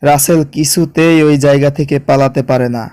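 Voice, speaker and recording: A man's voice: a little high, steady tone, a little fast, a little mellow, clean tone, no echo. A man reading scripted horror story narration.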